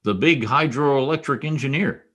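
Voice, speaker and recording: A man's voice: mid-range voice, moderate pace, a bit bright, close to an everyday voice. A man playing a wry, hard-boiled, dogged private detective in an old-time radio style drama. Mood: dry, wry.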